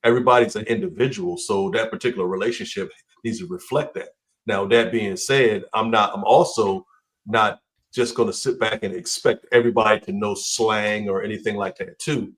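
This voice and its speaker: A man co-hosting a casual podcast discussion, talking in a measured way and repeating words as he starts. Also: lowish voice, fairly bright tone, rough recording. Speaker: podcast co-host.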